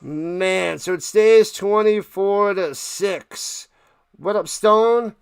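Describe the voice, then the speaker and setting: Casting: male sports streamer, high clear voice, casual chatty play-by-play, repeats himself, close dry sound. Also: very slow, no echo. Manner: informal, conversational, repeats phrases. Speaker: live sports streamer, host. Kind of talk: casual play-by-play watch party commentary.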